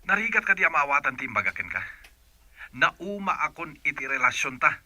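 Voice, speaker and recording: A man's voice: on the high side, sing-song, brisk, sharp tone, no room sound, full character voice. A man playing a cold, irritated boyfriend in an Ilocano radio drama, curt and dismissive, with a Filipino accent. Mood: cold, firm.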